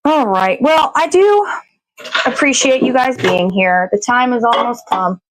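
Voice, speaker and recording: A woman's voice: a little high, lively intonation, unhurried, rough recording. A woman chatting casually as she cooks in her kitchen, rambling and thinking aloud with little sing-song asides. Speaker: show host. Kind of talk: casual chat while cooking. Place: home kitchen.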